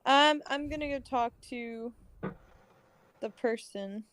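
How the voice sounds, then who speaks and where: A woman's voice: a little high, takes it slowly, fairly bright tone, clean tone. A woman playing in a tabletop game, speaking hesitantly in character. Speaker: player.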